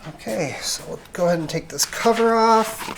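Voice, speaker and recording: A woman's voice: mid-range voice, normal speed. Woman giving a hands-on tutorial, noisy recording. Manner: casual, talking while working with the hands. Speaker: presenter of a how-to car repair video. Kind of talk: hands-on tutorial, informal step-by-step narration. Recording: clearly noisy, clicking tool sounds.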